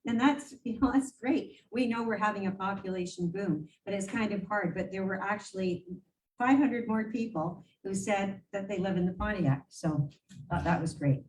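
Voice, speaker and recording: A woman's voice: medium pitch, moderate pace, darkish tone. A woman reporting to a council meeting, chatty and rambling, with many fillers and restarts. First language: English. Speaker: regional council warden reporting to mayors. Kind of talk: meeting report, casual and unscripted. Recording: distant voice, faint room noise.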